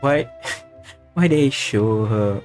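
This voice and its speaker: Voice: medium pitch, lively intonation, drawn-out words, darkish tone. A game streamer casually reading dialogue aloud and murmuring reactions on a livestream. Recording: game music behind the voice.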